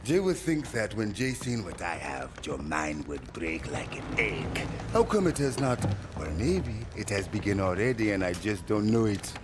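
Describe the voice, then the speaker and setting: A man's voice: fairly low pitch, big pitch swings, moderate pace, few pauses. An actor playing a troubled male resistance fighter in a video game, speaking in a brooding, dramatic way. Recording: noisy ambience behind voice.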